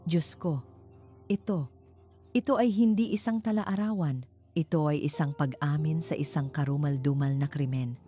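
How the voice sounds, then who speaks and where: A woman's voice: deep voice, normal speed, mellow, breathy edge. A woman playing a grave, shaken elderly male retired teacher in a dramatic story. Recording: music behind the voice.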